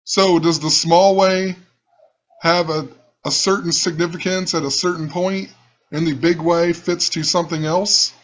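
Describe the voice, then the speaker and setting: A man with a rough, fairly high voice talks in a casual, rambling way and keeps coming back to the same points as he thinks out loud. The recording sounds dull and lo-fi. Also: fairly even intonation, unhurried, a little hiss or hum. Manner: musing, rambling, repeats himself. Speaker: channel host. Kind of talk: casual screen-share commentary.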